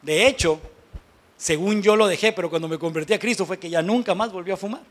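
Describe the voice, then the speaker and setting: A man's voice: high-pitched, quick pace, a bit bright. A man with a Mexican accent preaching as a pastor in a conversational, blunt and colloquial way, leaning on rhetorical questions for emphasis. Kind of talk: conversational sermon to a congregation.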